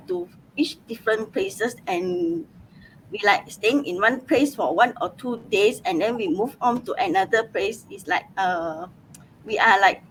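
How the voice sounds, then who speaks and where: A woman from Malaysia, sharing a trip story in a casual live chat and pausing often to find her words. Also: a little high, a bit bright, slight background noise, poor audio. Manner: slow, halting, searches for words. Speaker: panelist, digital business owner.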